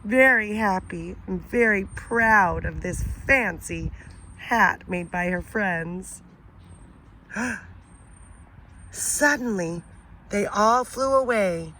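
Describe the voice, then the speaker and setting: A woman teacher reading a children's picture book aloud, expressive and pausing to ask the listener questions. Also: mid-range voice, a little hiss or hum, rough recording. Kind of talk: children's read-aloud story.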